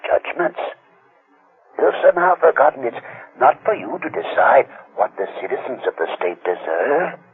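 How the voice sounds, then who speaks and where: A man's voice: mid-range voice, sing-song, normal speed, narrow, tinny audio, natural voice. A man acting in a radio drama as a condescending, controlling male handler, avuncular yet coldly menacing, with theatrical emphasis. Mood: scolding. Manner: patronizing, theatrical, swooping emphasis. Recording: thin, band-limited old radio sound.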